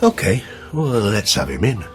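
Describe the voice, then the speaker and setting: A man's voice: fairly low pitch, very animated, normal speed, over music. An older man acting a reserved, ailing father in a naturalistic radio drama. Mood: cautious.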